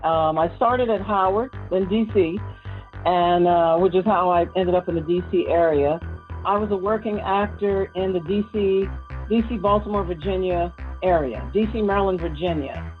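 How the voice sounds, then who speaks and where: A woman's voice: lowish voice, normal speed, phone-quality sound, soft background music. A woman in a casual interview, reminiscing about her television work and chuckling at times. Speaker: interviewed former TV co-host and actor.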